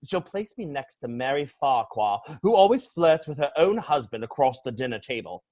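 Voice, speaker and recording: A man playing a witty, flippant young English gentleman in a stage comedy reading, declaiming with pompous mock seriousness. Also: medium pitch, expressive, normal speed, close to an everyday voice. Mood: airy indignation.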